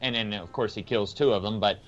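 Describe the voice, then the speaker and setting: A man's voice: on the low side, a little fast. A man on a podcast panel casually explaining his view point by point. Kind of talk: casual comic book discussion. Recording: slightly dull recording.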